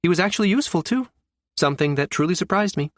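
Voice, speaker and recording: A man's voice: high voice, animated, quick pace, fairly bright tone, no echo, clean studio sound, voice pushed up. A man voicing a skeptical, wry professional woman who muses emphatically, in an audiobook. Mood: wry, disapproving. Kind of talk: audiobook character dialogue.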